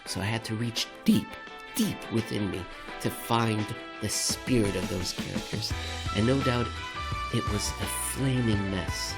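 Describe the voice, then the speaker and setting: A man narrating a scripted commentary with deliberate, somewhat theatrical phrasing. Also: fairly low pitch, vocal fry. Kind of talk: scripted reading of economic commentary.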